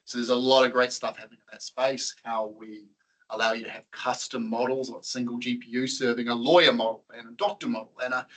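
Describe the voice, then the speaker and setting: A man hosting a tech meetup in a loose, conversational way, tossing questions at the room and laughing along. His voice is bright and forward, sitting in a middling pitch. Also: rough recording. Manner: chatty, laughs often, quizzes the audience. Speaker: meetup presenter. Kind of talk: casual talk to a meetup audience.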